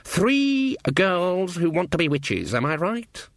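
A man's voice: high voice, very animated, slow, no echo, studio quality, pitched above the performer's own voice, full character voice. A man voicing a stern, sardonic old village witch woman in an audiobook, brusque and scornful, with folksy speech. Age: older.

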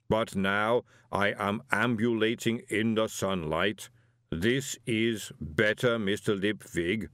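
A man voicing an ancient, huge golem servant in an audiobook, with a rumbling voice and a placid, formal, deliberate manner. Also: dry recording, clean studio sound. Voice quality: deep rumbling.

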